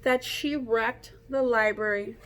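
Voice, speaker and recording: A woman reading a picture book aloud to children like a teacher, in an animated, playful way, coaxing young listeners to join in. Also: on the high side, slow, low-quality recording.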